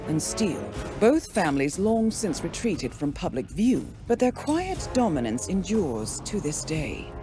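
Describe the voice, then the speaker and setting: A composed, resolute spy handler speaks in a low voice with expressive rises and falls. Her game dialogue is deliberate and articulate, set over clear music. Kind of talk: scripted video game briefing and monologue.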